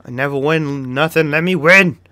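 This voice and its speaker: A man's voice: fairly high pitch, normal speed, no echo. A young man with a Boston accent giving casual gameplay commentary, cursing, laughing and yelping when surprised. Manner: excitable, swears and laughs often, yelps when startled. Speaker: gaming video creator.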